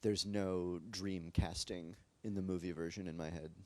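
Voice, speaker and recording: A man with an American accent and a deep, low-set voice talks through his work at a panel in a library. He rambles easily and fills his sentences with "like" and "um". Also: moderate pace. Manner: relaxed, rambling, many fillers and "like". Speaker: translator on a panel. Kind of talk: casual panel discussion. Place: library event space with live audience.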